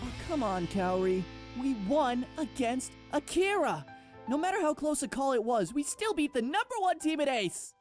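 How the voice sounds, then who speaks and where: Hyped young bro teammate, a man puts him on, high and bouncy. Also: very animated, moderate pace, faint music behind. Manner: boisterous, upbeat. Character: excitable young male teammate, bro type.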